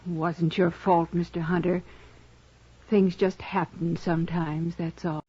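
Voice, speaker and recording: Restrained, dignified American pioneer mother in old radio western; measured, courteous delivery, dull vintage sound. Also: on the low side, little pitch movement, dark tone, husky. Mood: quiet sympathy. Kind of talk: 1950s radio western acting.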